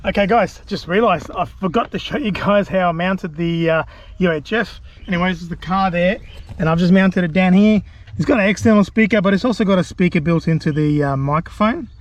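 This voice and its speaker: A man's voice: sits high, brisk, muffled recording. A man giving a laid-back, self-deprecating hands-on DIY tutorial, chatting colloquially to his viewers. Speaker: vlogger presenting a DIY car install.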